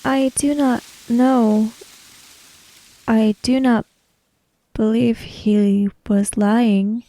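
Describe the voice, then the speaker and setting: A woman roleplaying an earnest, questioning character in a samurai-era tabletop game, speaking in measured, formal sentences without contractions. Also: fairly high pitch, fairly even intonation, warm tone, no room sound, poor audio. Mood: thoughtful, puzzled.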